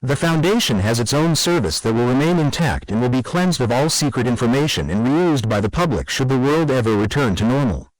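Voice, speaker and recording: A man's voice: mid-range voice, lively intonation, brisk, hardly any pauses, no room sound, natural voice, low-quality recording. A man playing a grave official who reads a formal scripted emergency message to staff. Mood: grave, urgent. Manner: serious, official. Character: grave male official addressing secret foundation staff.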